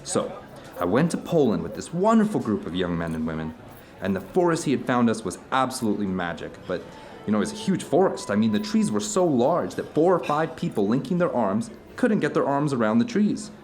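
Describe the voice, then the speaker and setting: Man playing an animated, earnest raconteur theater director. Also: medium pitch, brisk, faint music behind, close to an everyday voice. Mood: enthusiastic. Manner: storytelling, earnest, discursive. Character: animated theater director recounting his travels to an old friend. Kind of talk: conversational dramatic monologue.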